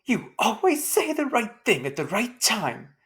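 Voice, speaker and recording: Casting: woman as doting older mother, high-pitched, fretful and exclaiming, for a storybook reading. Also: sing-song, a little slow. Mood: sarcastic, urgent. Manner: fretful, exclaiming, sharp with husband. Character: anxious, doting older mother of a grown son.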